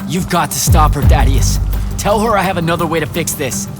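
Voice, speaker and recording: A man's voice: on the high side, fairly quick, over loud music, close to an everyday voice. A man playing an earnest, hot-headed young hero in a video game, blunt, urgent, pleading and defiant. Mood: determined, urgent. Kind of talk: video game cinematic acting.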